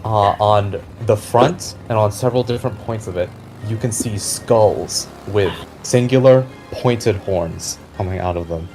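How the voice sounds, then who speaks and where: A man narrating a fantasy tabletop game as game master, describing scenes deliberately and with suspense. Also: fairly low pitch, darkish tone. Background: background music.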